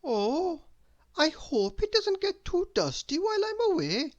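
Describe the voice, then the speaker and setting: A woman's voice: very high voice, sing-song, a little slow, highs cut off, pitched above the performer's own voice, full character voice. A woman voicing a prim, fussy little storybook lady with a dainty, careful delivery. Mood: fussy, worried.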